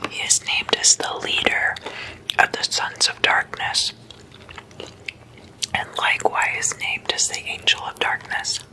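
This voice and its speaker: A woman speaks entirely in a crisp, bright whisper, breathy and unvoiced. She goes very slowly and deliberately as an ASMR host, leaving measured pauses between short phrases of her explainer. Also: low-quality recording.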